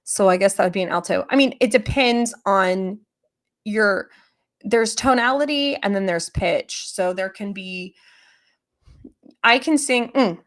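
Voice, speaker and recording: A young adult woman chatting casually on a livestream, rambling with many fillers, her voice lower than usual today. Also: measured pace. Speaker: streamer answering chat.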